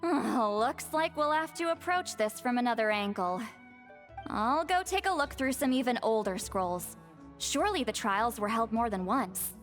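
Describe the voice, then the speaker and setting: A composed scholarly woman from a video game , played by an actress, with a fairly high voice. They speak in a measured, articulate, gently firm way over faint music. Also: lively intonation, natural voice. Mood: pondering.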